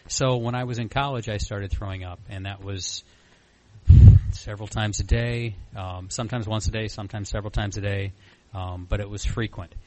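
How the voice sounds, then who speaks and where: A man's voice: lowish voice, a little hiss or hum, poor audio. A man in his mid-forties giving informal personal testimony in a candid, self-deprecating, rambling storytelling way. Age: mid-40s. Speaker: recovery meeting speaker sharing a personal story.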